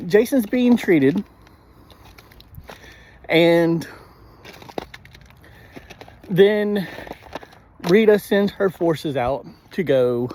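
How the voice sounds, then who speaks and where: A man giving a casual spoken episode review in a halting way, often clearing his throat. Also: fairly high pitch, dark tone, a little hiss or hum.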